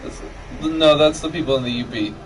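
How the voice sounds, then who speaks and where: A man's voice: fairly high pitch, fairly quick, distant mic, poor audio. A man with an American accent co-hosting a gaming livestream in casual, joking chat with a friend. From Michigan, United States. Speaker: game livestream co-host. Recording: clear music playing behind voice.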